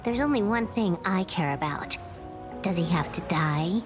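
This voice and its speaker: Brash, animated young fighter. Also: female voice, lowish voice, unhurried, music in the background. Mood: defiant, challenging. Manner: blunt, confrontational. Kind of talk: video game voice acting.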